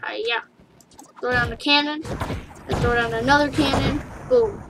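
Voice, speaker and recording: A woman casually narrating her play of a game for viewers, rambling and chatty, with giggles and excited yelps. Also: high voice, unhurried, very noisy. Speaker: gaming YouTuber. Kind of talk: casual let's play commentary. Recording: game music under the voice.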